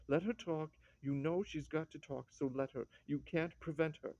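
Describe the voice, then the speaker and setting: An actress playing a brisk young sister-in-law in a classic stage drama, matter-of-fact and urging. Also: female voice, low-pitched, dark tone, dry recording, muffled recording. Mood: brisk consoling.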